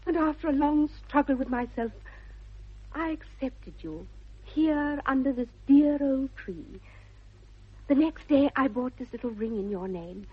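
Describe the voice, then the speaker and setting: A dull vintage radio recording of a young Victorian lady with a British accent. Her voice is very high and a little husky. She speaks precisely and with great earnestness, dreamy and headstrong, in the manner of a 1960s comedy of manners. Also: expressive, a little slow, full character voice. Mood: dreamily romantic. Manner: precise, earnest, affected delivery.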